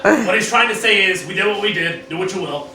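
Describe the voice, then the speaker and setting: A man's voice: high voice, fairly quick, natural voice. A man playing a brash, scheming, foul-mouthed cartoon grandfather in an amateur parody radio play, mixing blunt talk with theatrical speech. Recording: echoey room, distant mic, background noise.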